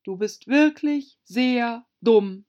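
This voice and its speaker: A woman voicing a gloomy, easily annoyed old female turtle from a storybook, slow and sighing, with a hollow voice. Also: lively intonation, darkish tone, dull audio. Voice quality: deep, hollow voice.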